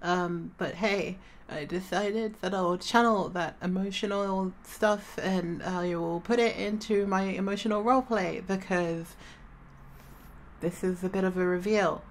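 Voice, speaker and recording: A woman's voice: mid-range voice, steady tone, unhurried. A woman audio creator talking casually to listeners in a subdued, hesitant, sincere way, drained after crying. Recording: roomy, distant mic, faint noise.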